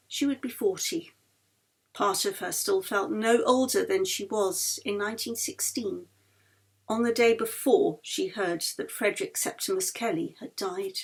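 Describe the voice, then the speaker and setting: A woman reading a novel aloud as its author, in an expressive storytelling style. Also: on the high side. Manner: acts out dialogue of characters. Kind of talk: expressive audiobook narration.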